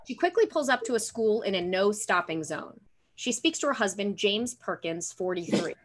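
A woman's voice: medium pitch, lively intonation, normal speed. Young female narrator, mid-range voice, reading scripted action lines from home. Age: young adult. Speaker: stage directions reader. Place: room at home, video call.

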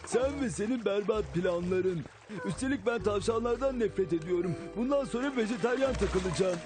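A man's voice: sits high, animated, normal speed. A man voicing a defeated, grumbling wolf in a children's cartoon dubbed in Turkish. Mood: grumbling, fed up. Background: music.

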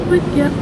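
A teenage girl vlogging casually, with a slightly raspy voice and a chatty, bubbly manner as she talks to her viewers. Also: extremely high pitch, heavy background noise. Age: teens. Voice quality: raspy in the morning. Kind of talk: casual vlog chat. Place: city street, then a coffee shop, then home. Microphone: phone held at arm's length.

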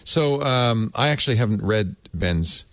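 A man with a fairly low voice and lively, swooping intonation hosts a casual panel discussion. He thinks out loud playfully, and the sound is close and dry. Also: a little slow, no room sound. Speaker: podcast host.

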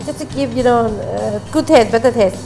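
Older woman chef, halting and high. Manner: halting, simple phrasing with fillers. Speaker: chef, mother of the co-host. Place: restaurant kitchen studio, indoors. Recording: studio cooking show with music under the voices. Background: music, clinking pans and cutlery.

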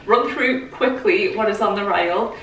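A woman's voice: mid-range voice, measured pace, a bit bright, a little hiss or hum. A young woman, bubbly and laughing easily as she talks through clothes with lots of fillers. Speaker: fashion vlogger. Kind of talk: casual chatty haul. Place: bright bedroom with clothes rail. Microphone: camera mic from across the room. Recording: roomy echo, mic at a distance.

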